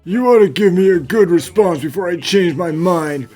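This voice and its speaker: A man's voice: high voice, normal speed, dark tone, lightly acted. A man playing a haughty, self-important rich landowner villain in a game fan dub, pompous and condescending. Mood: bullying. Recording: music behind the voice.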